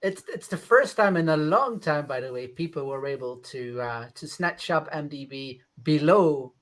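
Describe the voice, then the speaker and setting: A man co-hosts a casual chat in a jokey, agreeable way. His voice is on the higher side and swings widely in pitch as he talks. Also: lively intonation, normal speed. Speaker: co-host.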